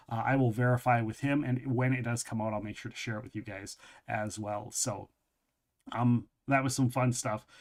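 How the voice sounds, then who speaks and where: A man hosting a casual live gaming stream, talking chattily and loosely, repeating phrases for emphasis and often restarting his sentences. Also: mid-range voice. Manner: loose, rambling delivery with frequent restarts.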